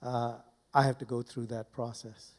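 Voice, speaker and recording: An older man from Burma giving informal personal testimony to a live audience as a guest speaker, deliberately and in short, broken phrases. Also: medium pitch, flat delivery. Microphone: handheld microphone held close.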